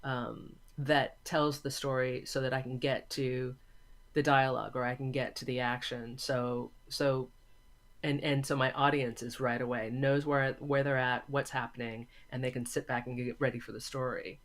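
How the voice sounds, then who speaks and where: A man being interviewed, talking thoughtfully and thinking aloud in short, halting phrases. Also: medium pitch, little pitch movement, a bit bright. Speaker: interview guest, audio drama maker and filmmaking teacher.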